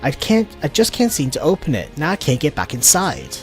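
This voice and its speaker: A man's voice: on the high side, huge pitch swings, brisk, soft background music. A man voicing a flustered, fretful villager. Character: flustered, embarrassed handy villager with a broken door.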